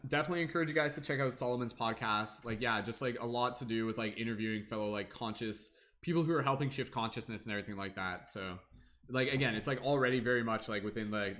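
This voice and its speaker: A man's voice: fairly low pitch, fairly bright tone. A young man hosting a casual tarot livestream, rambling with many fillers and humming to himself between comments.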